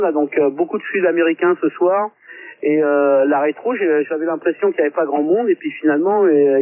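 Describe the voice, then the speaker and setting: A man in a casual amateur radio chat, speaking in a halting, repetitive way over a radio transmission. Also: a little high. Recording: tinny narrow-band radio link, faint static and clicks.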